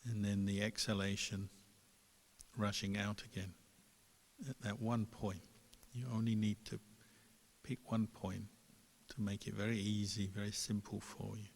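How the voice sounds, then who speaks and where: A man leads a guided meditation as a monk would, calmly and very slowly. His voice sits on the low side and leaves gentle gaps between phrases. It rings out with long reverb, as in a large hall. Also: creaky. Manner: calm, unhurried, gentle pauses between phrases. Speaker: Buddhist monk, meditation teacher.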